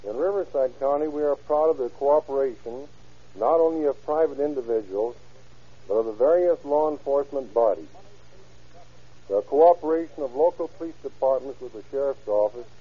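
Man, sheriff's officer, stiff scripted address, measured, husky voice, muffled old radio recording. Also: medium pitch. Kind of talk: formal scripted speech read aloud. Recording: band-limited old radio broadcast recording.

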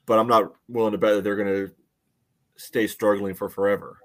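A man's voice: fairly low pitch, flat delivery, fairly quick, a bit bright. A man co-hosting a casual sports podcast, analysing in a hesitant way with lots of verbal padding. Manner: hesitant, filler-heavy phrasing with frequent "you know". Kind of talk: casual soccer analysis chat.

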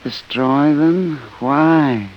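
An actor playing a contented, vague professor with a wiped memory in an old radio drama, mild and childishly happy. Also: male voice, on the high side. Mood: mildly puzzled. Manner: slow, vague, blissfully mild. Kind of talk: mid-century American radio drama acting. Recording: dull old radio sound.

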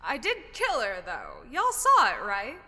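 Woman as a cocky, bright-voiced ghost, echoing. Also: on the high side, wildly swooping pitch, a little slow, heavily acted. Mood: smug, teasing. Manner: breezy, cheeky, informal. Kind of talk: audio drama acting.

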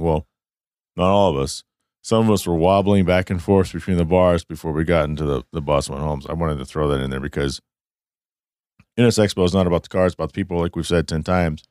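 A man hosting a casual podcast, hoarse and losing his voice, talking in a loose, rambling way with some swearing. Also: very deep voice, a little mellow, dry recording, clean studio sound. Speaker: podcast host. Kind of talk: casual chat. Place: studio.

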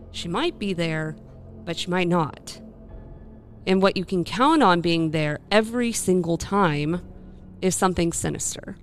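A calm demon-hunting woman played by an actress in a radio drama, speaking in a lowish register. She is reassuring and self-assured, with a plain folksy warmth in her manner. Soft music sits faintly behind her. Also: natural voice. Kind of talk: scripted radio play acting.

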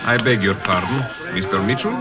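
Actor as stern, low-voiced police lieutenant. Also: male voice, moderate pace, lightly acted. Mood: official, accusing. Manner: clipped, official, accusing. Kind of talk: old-time radio drama acting. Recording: dull vintage radio, music behind.

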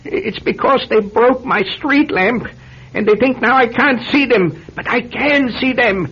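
An actor playing a frightened, paranoid man in his seventies in a radio drama, agitated and halting as he mutters. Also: very high voice, breathy edge, full character voice. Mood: pleading panic. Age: 70s. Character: frightened, paranoid seventy-year-old pensioner living alone. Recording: dull, noisy old radio recording.

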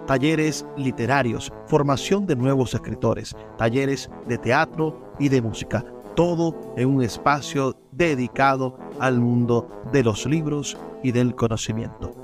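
Male radio host over music. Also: mid-range voice. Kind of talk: literary radio commentary and scripted promo.

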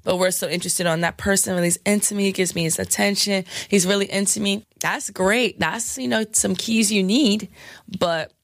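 A woman DJ with a scratchy, hoarse voice, chatting frankly in an interview with lots of fillers and laughs. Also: medium pitch, moderate pace, no room sound, studio quality. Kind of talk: candid casual interview talk.

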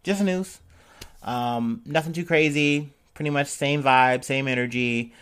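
A man's voice: medium pitch, unhurried, fairly bright tone, clean tone. Laid-back joking podcast host, a man. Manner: loose, joking and irreverent, many ums; drinking and smoking while talking. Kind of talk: casual news commentary podcast.

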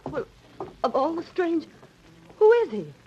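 Excitable frontier ranch lady, high, very lively pitch, vintage noisy radio drama. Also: unhurried, full character voice. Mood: startled, puzzled. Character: startled, excitable female ranch owner. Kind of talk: old radio western acting. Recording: muffled, noisy old radio recording.

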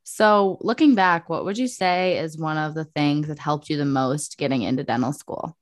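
A woman's voice: deep voice, normal speed, no room sound, clean studio sound. A young woman hosting a podcast, asking upbeat, friendly questions in a casual chat. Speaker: podcast host.

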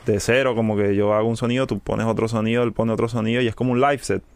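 A young adult man with a Venezuelan Spanish accent, a music producer speaking as a podcast guest in a relaxed, halting way, with frequent restarts and English words mixed in. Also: lowish voice, creaky, slight background noise. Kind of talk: casual podcast conversation.

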